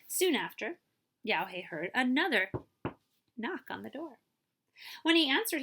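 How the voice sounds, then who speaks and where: Animated, bright-voiced woman reading aloud. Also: a little high. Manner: expressive, with commentary asides to listeners. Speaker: librarian reading a picture book aloud. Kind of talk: animated children's story read-aloud.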